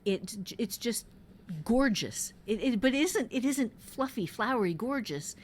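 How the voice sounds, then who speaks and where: A woman hosting an informal talk as a writing teacher, emphatic and halting, often repeating words for stress. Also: on the high side, animated, a little hiss or hum. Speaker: podcast host and fiction-writing teacher. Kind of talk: informal writing advice in conversation.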